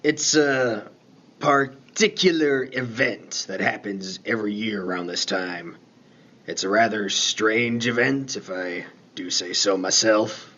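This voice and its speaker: A man playing a laconic, folksy lighthouse keeper in a radio play, talking in a plain, unhurried, colloquial way. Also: lowish voice, sharp tone, dull audio, exaggerated voice. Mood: calm, mysterious. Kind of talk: 1950s-set radio play dialogue.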